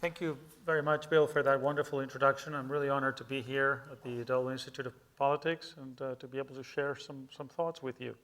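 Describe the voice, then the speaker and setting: A middle-aged man from Peru, a native Spanish speaker, giving a public lecture in a measured, hesitant way with many fillers and restarts. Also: mid-range voice, steady tone, fairly bright tone. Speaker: guest lecturer. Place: lecture hall with an audience.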